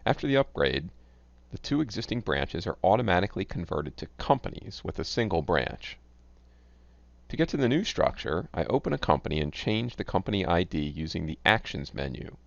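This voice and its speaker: A man giving scripted, instructional narration as a software tutorial presenter, reading in a measured, enunciated way. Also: sits low, big pitch swings, gravelly, dry recording. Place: close, dry voice-over recording. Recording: dull, lo-fi close voice-over.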